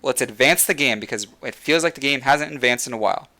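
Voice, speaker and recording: A young man talks to his livestream chat, building his points with repeated words and restarts. His voice is bright and tense. He is audibly losing his voice as he goes. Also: medium pitch, fast. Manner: passionate, repeats words while thinking. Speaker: livestream host. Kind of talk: casual gaming rant to chat.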